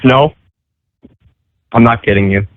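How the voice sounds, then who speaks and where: A man prank-calling a radio show, calm and deadpan as he mocks the host. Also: mid-range voice, takes it slowly, no room sound. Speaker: prank caller. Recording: dry tinny phone line.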